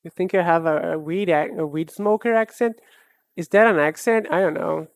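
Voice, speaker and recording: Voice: mid-range voice, moderate pace, no room sound, studio quality. Musing streamer with a Brazilian accent. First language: Portuguese. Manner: musing, hesitant, with frequent restarts. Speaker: art streamer. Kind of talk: casual chat answering a live chat.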